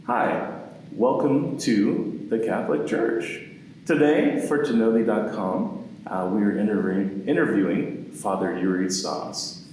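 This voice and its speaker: A man's voice: lowish voice, animated, measured pace, darkish tone. A man interviewing a priest, asking easygoing questions full of ums and you-knows. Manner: easygoing, filler-heavy questions. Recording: echoey room, mic far off, faint noise.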